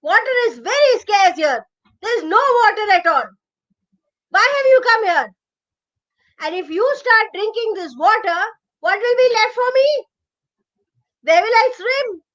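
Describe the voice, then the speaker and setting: A woman's voice: extremely high pitch, lively intonation, unhurried, pauses often, a bit bright, lo-fi sound, pitched above the performer's own voice, exaggerated voice. A woman voicing an irritable, territorial male crocodile in a storybook tale, scolding and indignant. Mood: alarmed scolding.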